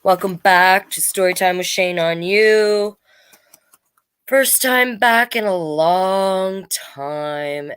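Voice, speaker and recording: A woman's voice: low voice, a bit bright, clean tone, no room sound. A woman hosting a children's storytime livestream, chatting casually and reading aloud in a playful, rambling way.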